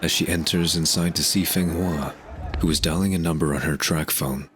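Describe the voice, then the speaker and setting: A man's voice: rumbling low, steady tone, normal speed, a bit bright. A man reading scripted narration for an audio drama, telling the story in the third person. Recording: clear background noise under voice.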